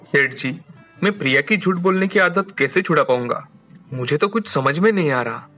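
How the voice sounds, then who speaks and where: A man voicing a polite, respectful young man of humble means in an animated moral story. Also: a little high, moderate pace, faint music behind. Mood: respectful, troubled.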